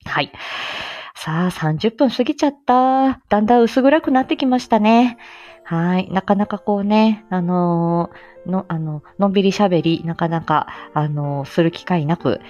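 A woman's voice: on the low side, measured pace, darkish tone, muffled recording, soft background music. A middle-aged woman hosting a casual livestream from home, chatty, playful and self-deprecating in manner. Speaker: livestream host.

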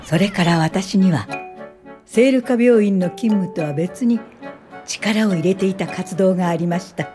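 An actress playing a gentle older social worker, narrating her memories in a measured radio drama style. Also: mid-range voice, over music, lightly acted. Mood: reflective. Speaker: narrator and lead character.

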